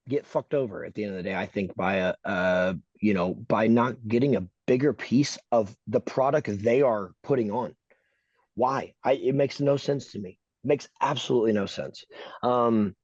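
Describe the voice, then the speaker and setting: A man's voice: mid-range voice, darkish tone, no room sound, highs cut off. A man co-hosting a podcast, talking casually and bluntly, ranting with emphasis and frequent swearing. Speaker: podcast co-host.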